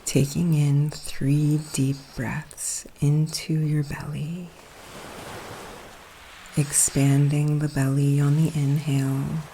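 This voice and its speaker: A woman guiding a relaxation meditation in a soothing, hushed, unhurried manner, with long pauses between phrases. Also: low-pitched, poor audio. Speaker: guided meditation coach. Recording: steady ocean surf noise behind. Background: sea waves.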